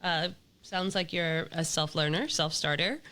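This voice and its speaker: A woman's voice: sits low, unhurried, bright, forceful tone. A woman hosting a podcast interview, upbeat and sprinkling Spanish words into her English. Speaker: podcast host.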